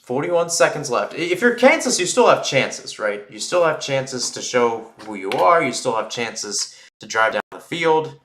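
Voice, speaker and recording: A man's voice: mid-range voice, unhurried, hardly any pauses, reverberant. A man doing live football play-by-play in a radio style, explaining at length between plays. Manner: rambling and explanatory between plays, excited on big plays. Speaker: play-by-play commentator.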